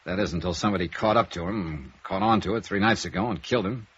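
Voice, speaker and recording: A man's voice: low voice, quick pace, fairly bright tone, husky. An American man acting a tough, probing private investigator in a 1950s radio noir, narrating and questioning in a clipped, sardonic way. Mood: brisk, intrigued. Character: tough, probing male freelance insurance investigator who narrates. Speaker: lead actor in a radio detective series. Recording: dull, noisy old radio recording.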